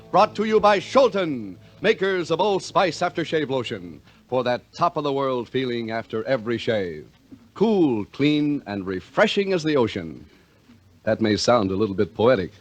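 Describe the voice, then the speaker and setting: A man with an American accent announcing in a polished, emphatic 1950s radio style, reading scripted copy. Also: medium pitch. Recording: vintage 1950 radio recording.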